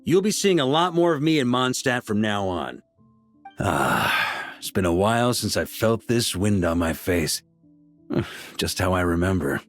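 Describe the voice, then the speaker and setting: A good-humored grandmaster knight from a video game, mid-pitched and lively in his intonation. He sounds easygoing and wry, with soft music playing faintly behind him. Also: unhurried. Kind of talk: game voice acting.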